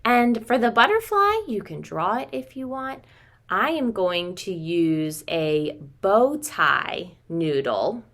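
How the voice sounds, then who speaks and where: A woman's voice: medium pitch, big pitch swings, slow pace, a bit bright. A woman in her thirties, a preschool teacher, talking simply to young children through a craft demonstration at home. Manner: simple, child-directed talk, addressing listeners as friends. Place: small room at home.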